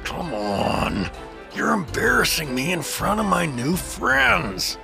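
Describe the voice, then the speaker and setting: A man's voice: high-pitched, sing-song, slow, vocal fry, over music, heavily acted. A man playing a goofy, boastful, drunken adventurer in a fantasy audio drama, slurring and muddling his words. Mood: tipsy, cheerful.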